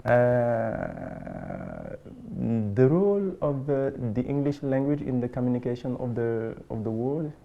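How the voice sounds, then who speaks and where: A man's voice: medium pitch, wildly swooping pitch, takes it slowly, creaky, highs cut off. A man with a Senegalese accent hosting an interview, asking questions hesitantly with restarts and echoing the guest's words. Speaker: interview host. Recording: faint background noise.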